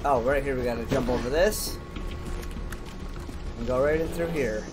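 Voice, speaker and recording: A man's voice: medium pitch, moderate pace, over music. A man giving casual, informal gameplay commentary as a let's play host, thinking aloud and reacting as he goes.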